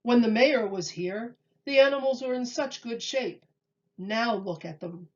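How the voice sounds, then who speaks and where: A woman's voice: a little high, unhurried, muffled recording, natural voice. A woman voicing a bossy, commanding male zoo keeper in a children's storybook. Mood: puzzled.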